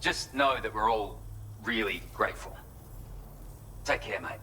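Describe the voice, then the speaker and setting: A man's voice: on the low side, a little slow, sharp tone, a little hiss or hum, poor audio. A man with an Australian accent playing an earnest, matter-of-fact facility worker in a video game. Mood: relieved, earnest. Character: grateful, businesslike male facility worker. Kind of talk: video game cutscene acting.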